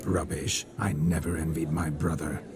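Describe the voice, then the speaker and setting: A man's voice: rumbling low, fairly even intonation, moderate pace, a crowd in the background. An actor playing a proud, touchy male nobleman's ghost speaking in an indignant, haughty way. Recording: game cutscene with music score.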